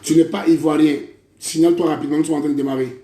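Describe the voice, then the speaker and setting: A man's voice: on the high side, steady tone, racing, warm tone. Declaiming preacher man, echoey room. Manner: declamatory, repeating phrases, addressing listeners as brother. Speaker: prophet preacher. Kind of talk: prophetic sermon and online prophecy.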